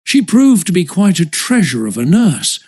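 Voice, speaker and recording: A man's voice: sits high, sing-song, moderate pace, a little mellow. A man reading a children's story as scripted audiobook narration.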